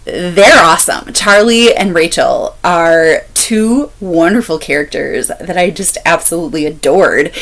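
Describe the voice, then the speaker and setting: A woman chatting casually as a book-review vlogger, candid and expressive, repeating phrases for emphasis. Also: fairly low pitch. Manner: candid, expressive, laughs while talking.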